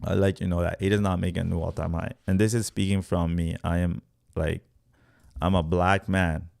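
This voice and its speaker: Male host from Congo for explanatory chart commentary; deep, fry-edged voice, emphatic and repetitive delivery, often checking in with listeners. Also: flat delivery, brisk, a little mellow, no echo, studio quality. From born in Congo, Africa. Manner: emphatic, repeats points, checks in with "right?". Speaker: host, crypto trading educator.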